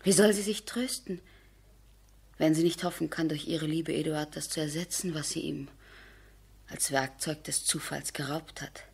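An actress playing a grieving, resolute noblewoman in a radio play, very breathy and often near a whisper. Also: deep voice, steady tone, moderate pace, darkish tone, exaggerated voice. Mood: anguished, resolute. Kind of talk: German radio play acting.

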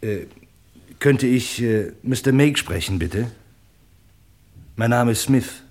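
A man's voice: medium pitch, lively intonation, darkish tone, slight background noise. An actor playing a hesitant, conscience-torn man in a German radio crime drama. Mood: nervous, polite. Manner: hesitant, wavering, halting.

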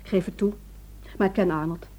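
An actress in a Dutch radio drama playing a worried, determined wife who speaks in an earnest, pleading way. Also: female voice, on the low side, fairly even intonation, normal speed, mellow, breathy edge. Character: worried, determined wife seeking help for her secretive husband.

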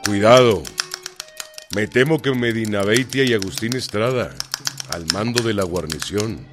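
An actor playing a gruff, commanding Mexican revolutionary general in a radio drama, with a gravelly voice and a Mexican accent. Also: male voice, fairly low pitch, unhurried, close to an everyday voice. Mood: urgent, commanding. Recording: music behind the voice.

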